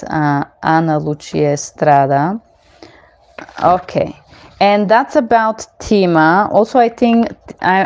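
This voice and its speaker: Frank, low-voiced woman with a non-native accent. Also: darkish tone, a little hiss or hum. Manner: speaks English with a noticeable non-native accent; frank, opinionated, rambling asides. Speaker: interpreter and channel host. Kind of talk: casual Q&A monologue.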